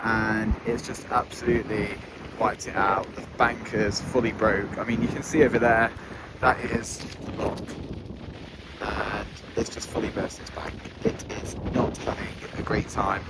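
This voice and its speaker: Man chatting outdoors in loud wind. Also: on the low side, little pitch movement, a little slow. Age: 30s. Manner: chatty, commenting on the scenery. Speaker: vlogger host. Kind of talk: casual walk-and-talk vlog. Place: outdoors by a flooded canal.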